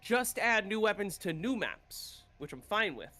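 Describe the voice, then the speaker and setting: Streamer, male, casual and opinionated, swears freely, higher voice, sounds a bit congested. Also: very animated, unhurried, pushed and bright. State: congested, coming down with a cold. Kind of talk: casual gameplay chat.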